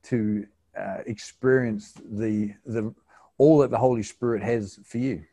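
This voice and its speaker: A man interviewing someone casually, putting his questions in a halting, unhurried-sounding conversational way. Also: fairly low pitch, steady tone, warm tone, husky, no room sound.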